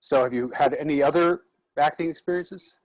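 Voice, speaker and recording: A man's voice: on the high side, a little fast, no echo, low-quality recording. A man moderating a casual discussion in an encouraging, conversational way. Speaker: actor moderating a Q&A.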